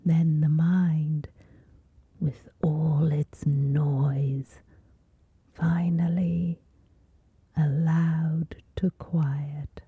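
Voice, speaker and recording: A woman with a soft, whispery voice gently guides a scripted sleep meditation, soothing, with long pauses between short phrases. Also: sits low, little pitch movement, breathy edge, dry recording, phone-quality sound.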